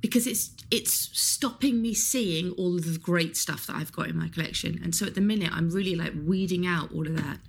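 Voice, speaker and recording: A woman with a slightly husky voice chats on a podcast. She talks briskly, swears freely and laughs easily. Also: on the low side. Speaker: podcast co-host. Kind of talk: casual chat.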